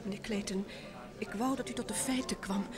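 A woman's voice: mid-range voice, steady tone, a little fast, natural voice. An actress playing a wary, impatient married woman, formal and direct, in vintage radio drama style. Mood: impatient, insistent. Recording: old radio play recording with music.

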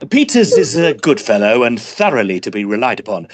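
An actor playing a weary, dry Edwardian gentleman with a clipped English accent, in an audio drama. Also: medium pitch, very animated, a little fast, lightly acted. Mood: dry, exasperated. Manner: dry, clipped, long-suffering. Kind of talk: audio drama acting and first-person narration.

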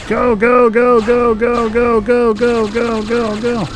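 A man's voice: very high-pitched, completely flat delivery, takes it slowly, faint music behind. A man giving casual, sarcastic commentary as he records himself playing a video game. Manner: wry, sarcastic. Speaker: gamer recording a let's play.

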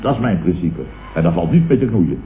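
A man's voice: low voice, very animated, a little fast. A Dutch man playing a pompous, indignant middle-aged businessman who sputters and declaims in a radio comedy. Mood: pompous, self-righteous. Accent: Dutch, from the Netherlands. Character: blustering, pompous middle-aged businessman, opportunistically turned leftist. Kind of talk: 1960s-70s radio comedy acting. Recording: tinny, echoey, hissy old radio recording.

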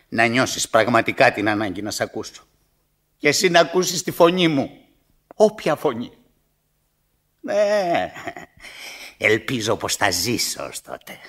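An actor playing a bitter old father in a radio theatre monologue, plaintive, halting and dramatic. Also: mid-range voice, fairly bright tone, husky.

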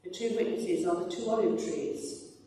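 A woman's voice: lowish voice, normal speed, dark tone. An older woman reading scripture aloud at a church lectern. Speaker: scripture reader. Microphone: microphone on a boom stand. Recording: very echoey church, distant sound.

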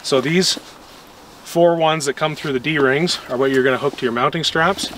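A young man giving a casual, hands-on how-to demonstration outdoors in the snow, pausing and restarting as he works. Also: on the high side. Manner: relaxed, hands-on, stops and starts while working. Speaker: gear maker demonstrating a product. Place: outdoors in snow beside a vehicle. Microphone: camera mic from a few steps away. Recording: distant outdoor mic with ambient noise.

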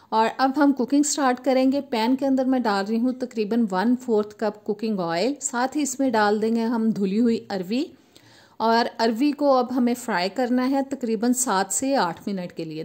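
A woman, host of a cooking channel, calmly explaining a recipe step by step in voice-over. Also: fairly high pitch. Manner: steady step-by-step instructional tone.

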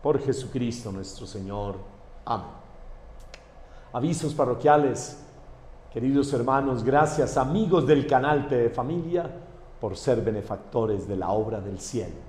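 A man from Colombia, a priest saying mass prayers and giving parish notices in a warm pastoral way. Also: medium pitch, animated, a little slow. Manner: warm pastoral address to the faithful. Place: church. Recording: echoey church hall with faint background noise.

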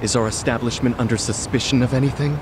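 A man's voice: medium pitch, fairly even intonation, fast talker, background noise, natural voice. Actor as a formal middle-aged club doorman. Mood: polite, firm. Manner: formal, firm. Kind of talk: video game acting.

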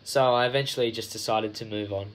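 A man's voice: lowish voice, steady tone, quick pace. A young man with an Australian accent reading a scripted voice-over narration for a vlog.

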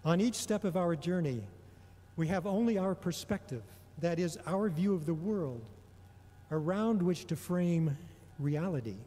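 A middle-aged man delivering a prepared homily in a measured, deliberate way, pausing often between phrases. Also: high-pitched, warm tone. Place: large indoor church or hall. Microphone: gooseneck microphone on a lectern. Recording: long reverberation of a large hall.

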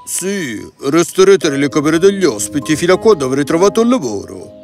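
A man's voice: on the high side, very animated, a little fast, over music. A man playing a confident, gracious king in a children's fairy tale, speaking in a regal manner. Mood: reassuring.

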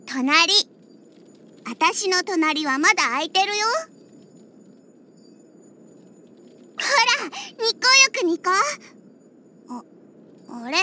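A woman's voice: extremely high pitch, pushed and bright, full character voice. A woman voicing a proud, huffy young cat-girl bartender in an anime-style game, with a small childlike voice and cat-like word endings. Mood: playful, inviting. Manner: huffy, tsundere, slipping into cat-like "nya" endings. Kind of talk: Japanese anime-style game character voice lines.

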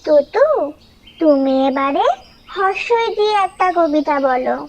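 A woman's voice: sits high, lively intonation, measured pace, background noise. A woman voicing a small cheerful talking bird who leads games in a children's puppet show, in a childlike sing-song manner. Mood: encouraging.

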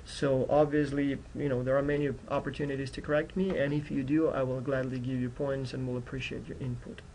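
Non-native English-speaking male instructor, creaky voice. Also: mid-range voice, level tone, brisk, a little mellow, slight background noise, poor audio. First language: not English, which is his fourth language. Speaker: university instructor. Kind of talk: explanatory course introduction lecture. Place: indoors at a computer.

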